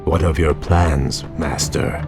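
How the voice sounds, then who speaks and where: An actor playing a young elf prince in a video game cutscene, speaking in an earnest, formal, noble manner. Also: male voice, extremely low pitch, slow. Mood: earnest, deferential. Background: music.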